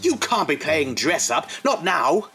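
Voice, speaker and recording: An actor playing an anxious, exasperated Edwardian husband, pleading in an audio drama. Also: male voice, fairly high pitch, big pitch swings, measured pace, bright, forceful tone, full character voice. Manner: fretful, pleading.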